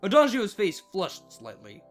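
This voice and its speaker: Male storybook narrator, high-pitched, expressive rise and fall, scripted read. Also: a little slow, soft background music.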